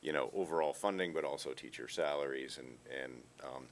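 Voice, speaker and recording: A man, a board member, asking questions at a public meeting in a halting way with many pauses and fillers. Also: very deep voice, a little hiss or hum. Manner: halting, lots of ums.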